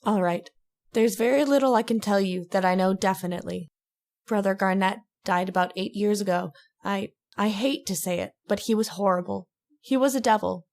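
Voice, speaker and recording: A woman playing a fearful, haunted lady in an old-time radio drama, earnest and halting, pleading as she speaks. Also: mid-range voice, darkish tone, lightly acted. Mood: sorrowful confiding.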